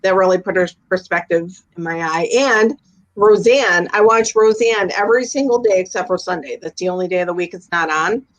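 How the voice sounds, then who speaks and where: A woman's voice: lowish voice, fairly quick, low-quality recording. A woman in her fifties chatting casually, telling everyday anecdotes in a talkative way. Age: 50s. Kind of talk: casual chat.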